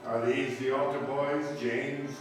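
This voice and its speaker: A man's voice: mid-range voice, level tone, unhurried, lightly acted. Man as police detective in an echoing hall. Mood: businesslike. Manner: plain, businesslike questioning. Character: brisk, polite police detective, the sergeant's partner. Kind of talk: 1950s police radio drama acting. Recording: very echoey hall, distant mic, music.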